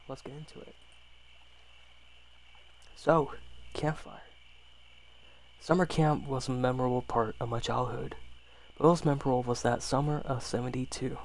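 A man's voice: mid-range voice, slight background noise, low-quality recording. A man reading a horror story aloud as an ASMR storyteller, sometimes stumbling and rereading phrases.

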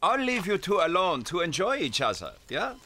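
Cartoon doctor, high bright voice, matter of fact. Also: male voice, wildly swooping pitch, normal speed, a little hiss or hum, exaggerated voice.

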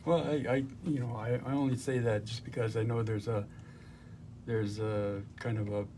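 A man's voice: on the low side, steady tone, moderate pace, mellow, slight background noise. A man hosting a radio show, chatting in an easygoing, agreeable way with lots of fillers. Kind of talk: casual radio chat.

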